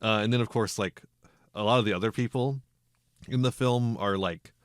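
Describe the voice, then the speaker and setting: A man's voice: lowish voice, normal speed, smooth, no room sound, clean studio sound. A man hosting a casual film podcast, getting over a cold, wry and chuckling between his remarks. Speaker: podcast host.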